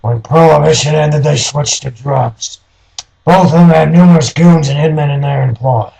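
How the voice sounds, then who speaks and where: A man playing a cynical newspaper man in his forties, talking gruff, slangy tough talk in a noir radio play. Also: a little high, normal speed, dark tone, poor audio.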